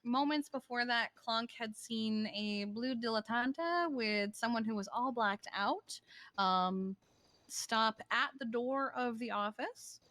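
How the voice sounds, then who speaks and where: A woman improvising in game roleplay as a matter-of-fact, wry character who recounts things haltingly. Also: a little high, a bit bright. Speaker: role-player in a live-streamed online game.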